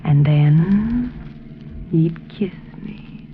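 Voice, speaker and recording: A woman's voice: fairly low pitch, animated, drawn-out words. An actress playing a ruthless, taunting female crook in a 1940s radio crime drama. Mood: teasing, seductive. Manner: taunting, domineering, coquettish. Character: scornful, seductive, ruthless female crook, a murderous femme fatale. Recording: noisy, dull old 1940s radio recording.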